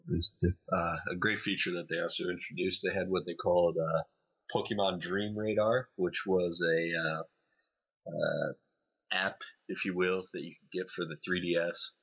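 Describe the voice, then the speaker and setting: Low-voiced man with a bright, forceful edge. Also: flat delivery, moderate pace, slightly rough, dull audio. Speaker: podcast co-host reviewing games. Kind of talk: casual video game review chat.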